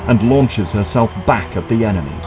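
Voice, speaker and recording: Low-voiced male drama narrator over music. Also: moderate pace, rough recording.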